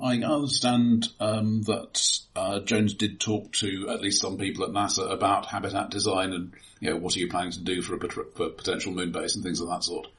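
A man discussing films casually and analytically, in a measured, considered way with halting pauses. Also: sits low, little pitch movement, fairly bright tone, rough recording. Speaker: film podcast host.

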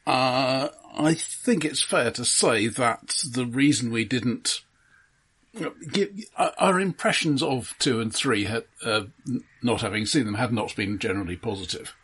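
A male podcast co-host with a husky, slightly rough voice at an average pitch. He chats casually about films, restarting and repeating words as he builds a point. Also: a bit bright. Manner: halting, repeats words as he explains. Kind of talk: casual film discussion.

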